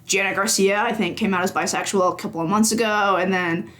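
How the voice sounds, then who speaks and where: A woman's voice: medium pitch, fairly even intonation, fairly quick, a bit bright. A young woman guesting on a games podcast, speaking casually and thinking aloud with plenty of hedging. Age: young adult. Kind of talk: casual interview chat.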